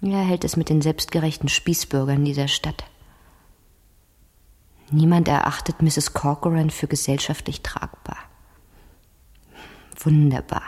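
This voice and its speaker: A woman's voice: low voice, fairly even intonation, unhurried, pauses often, darkish tone. An actress playing an inquisitive, outspoken fifteen-year-old girl in a radio crime drama, probing insistently and directly. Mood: indignant, scornful. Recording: 1970s radio production with long reverb.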